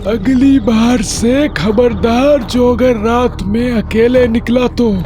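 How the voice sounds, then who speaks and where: Slow, high-pitched ghostly village uncle. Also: male voice, fairly even intonation. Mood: ominous, sly. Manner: slow, drawn-out, menacing taunts. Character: headless ghost of a village uncle, taunting and eerie. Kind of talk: Hindi cartoon horror story acting. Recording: loud music behind the voice. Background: loud music.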